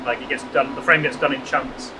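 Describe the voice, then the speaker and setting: Fast-talking man giving a factory tour. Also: medium pitch, steady tone. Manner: explains in detail, matter-of-fact. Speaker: factory guide. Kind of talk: walking tour explanation. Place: indoor motorcycle factory floor. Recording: noisy factory floor.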